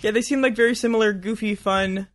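High-pitched man in casual podcast chat. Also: fairly even intonation, fairly quick, no room sound. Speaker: podcast co-host.

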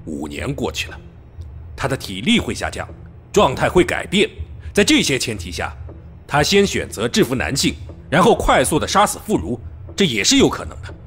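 An actor playing a stern middle-aged police chief in an audio drama, speaking with probing, deliberate authority. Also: male voice, mid-range voice, huge pitch swings, fairly bright tone, soft background music. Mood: measured, challenging. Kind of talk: Chinese audio drama acting.

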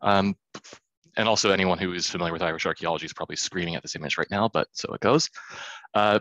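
A man in his thirties giving an academic conference talk over a video call, quick and self-deprecating with many fillers. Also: low voice, sharp tone, dry recording, poor audio. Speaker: conference speaker. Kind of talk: academic presentation.

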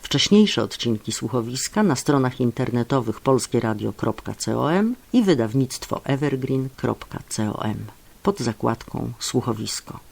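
A man's voice: medium pitch, sing-song, a little slow, creaky, darkish tone. A man reading scripted closing credits and announcements as a radio announcer.

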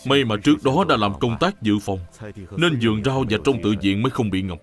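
A man playing an earnest, respectful monk disciple in an animated Buddhist drama. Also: on the low side, fairly quick, soft background music, natural voice. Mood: puzzled, respectful.

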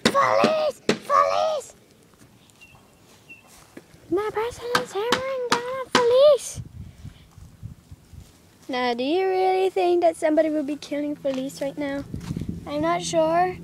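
A woman's voice: very high voice, slow pace, pauses often. Girl voicing toys, squealing, noisy phone audio. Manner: excited squeals, exaggerated character voices. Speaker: toy video maker voicing pets. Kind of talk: playful toy play acting. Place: backyard playground outdoors. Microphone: handheld phone camera. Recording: phone audio with background noise.

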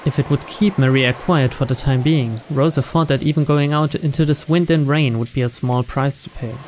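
A man's voice: mid-range voice, steady tone, normal speed, a little mellow, slight background noise. A man reading scripted story narration as host of a visual novel playthrough.

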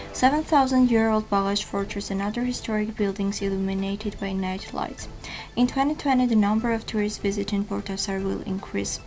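A young woman in her twenties reading scripted news in a studio, with steady formal delivery and slightly non-native phrasing. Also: mid-range voice. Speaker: news anchor. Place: TV news studio, virtual set.

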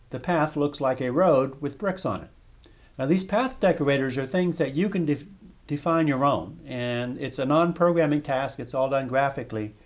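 A man talking through a software demonstration one step at a time. He explains in a steady, patient way and sometimes restarts a phrase mid-sentence. His voice sits at an ordinary middle pitch. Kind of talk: software tutorial screencast narration.

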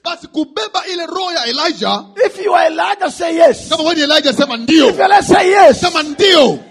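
Man, middle-aged, preacher, emphatic sermon, high-pitched, shouting. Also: vocal fry. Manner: emphatic, rising to shouts, calls for responses. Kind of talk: shouted sermon with live interpretation. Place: large conference hall stage. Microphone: handheld microphone.

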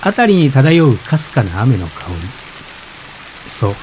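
Polite, philosophical husband, faint hiss. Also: male voice, mid-range voice, very animated, slow pace, rough recording. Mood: gently reflective. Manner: polite, gentle, reflective. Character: polite, gentle expectant father, philosophical and self-effacing. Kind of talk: radio drama dialogue. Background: rain.

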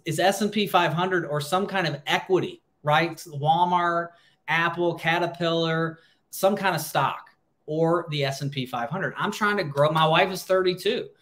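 Higher-pitched American man explaining informally. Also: fairly bright tone. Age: 30s. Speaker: financial planner hosting a livestream.

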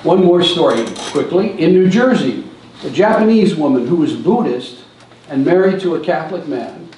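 A man's voice: fairly high pitch, expressive, moderate pace, darkish tone, recorded from a distance, a little hiss or hum. Older man telling stories in an echoey hall. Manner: storytelling, stands at a lectern and gestures. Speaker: seminar speaker. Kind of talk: church seminar talk. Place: church hall with large windows.

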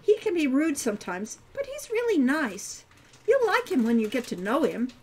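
A woman's voice: sits high, wildly swooping pitch, normal speed. A woman doing an eager teenage girl adventurer.

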